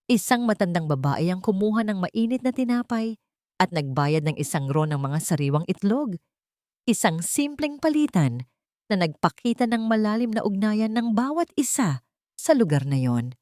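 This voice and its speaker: A woman narrating a dramatic romance story in an earnest, sentimental, measured way. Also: lowish voice, a little mellow, dry recording, clean studio sound. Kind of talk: dramatic scripted narration of a Tagalog love story.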